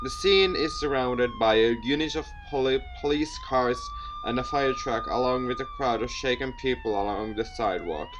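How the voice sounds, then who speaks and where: A man's voice: medium pitch, huge pitch swings, normal speed, noisy background. A man hosting a let's play, reading visual novel text aloud with commentary and often tripping over words and repeating them. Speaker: gaming video host.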